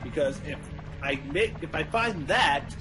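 A man's voice: a little high, lively intonation, unhurried, over music, poor audio. A man chatting casually over a video game in a playful, joking way. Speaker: co-commentator on a game playthrough. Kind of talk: casual gameplay chat.

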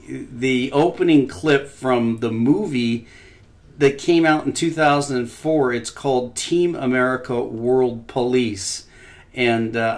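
A man hosting casual market commentary over a shared screen. He talks in a loose, conversational way, pausing often with fillers and dropping skeptical, opinionated asides. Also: mid-range voice. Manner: conversational, frequent pauses and "uh" fillers. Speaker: financial commentary host.

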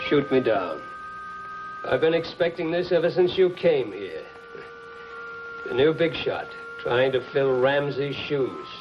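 An actor playing a doomed, defiant doctor with a bitter, gloating delivery in a 1950s crime film. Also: male voice, medium pitch, big pitch swings, measured pace, long pauses. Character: doomed, defiant male doctor taunting a gangster. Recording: dull old film soundtrack, loud music.

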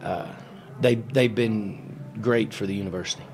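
A middle-aged man, a basketball coach, answering questions at a postgame press conference in a halting, matter-of-fact way, with many fillers and restarts, into a table microphone. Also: on the low side, steady tone, noisy background. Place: press room in front of a sponsor backdrop. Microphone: table mic on a stand.

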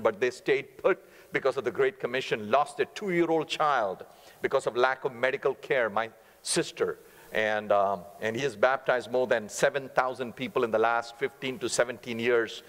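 A middle-aged man from India with an Indian accent, preaching as a guest missionary speaker in a church, fast-talking and earnest with touches of humor. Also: mid-range voice, a bit bright. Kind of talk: sermon. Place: church stage, large sanctuary. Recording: long reverb of a church hall.

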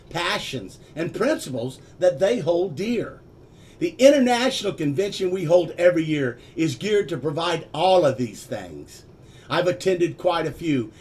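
A man's voice: high voice, darkish tone. An older man, a club vice president, delivering a scripted promotional dialogue with enthusiasm over a video call from a home office.

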